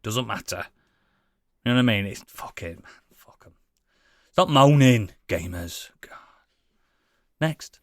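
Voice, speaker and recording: A man's voice: fairly low pitch, animated, unhurried, long pauses, dry recording. A British man chatting casually as a gaming podcast co-host, blunt, sweary and opinionated.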